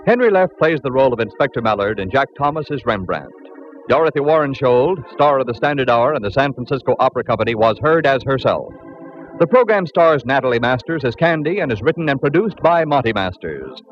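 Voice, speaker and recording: A man's voice: mid-range voice, animated, fairly quick. A male radio announcer reading the closing credits of a 1940s radio drama in a polished delivery. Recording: dull old radio broadcast with music.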